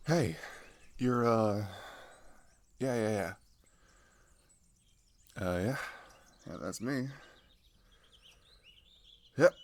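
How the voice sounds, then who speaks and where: A man playing a friendly, chatty teenage migrant boy in an ASMR roleplay, talking casually and haltingly with pauses and sighs. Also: on the low side, slight background noise. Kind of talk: ASMR roleplay, one-sided conversational acting.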